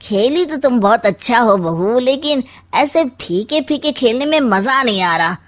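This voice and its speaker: A woman voicing a scheming, smug older uncle in a comic cartoon story, with a sardonic, drawn-out delivery. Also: on the high side, expressive. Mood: sly, coaxing.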